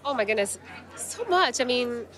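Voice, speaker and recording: A woman's voice: fairly high pitch, lively intonation, normal speed, fairly bright tone, some chatter behind. A woman from Guatemala, a nominated musician, answering questions at a red carpet interview into a handheld mic, speaking in an easygoing way with light laughs. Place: outdoor red carpet at an awards show. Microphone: handheld mic held by interviewer.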